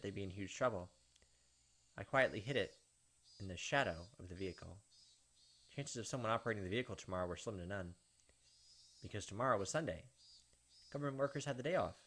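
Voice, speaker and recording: A man's voice: lowish voice, fairly bright tone, slight background noise. A man hosting a playthrough, reading a visual novel aloud as emphatic dramatic narration with casual asides. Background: game music.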